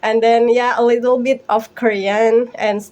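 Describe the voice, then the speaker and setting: A woman's voice: on the high side, level tone, a little slow, darkish tone. A young Indonesian woman with an Indonesian accent, presenting informally to a small online group in a giggly, friendly, chatty way. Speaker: host and presenter of a language practice talk group. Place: online video call.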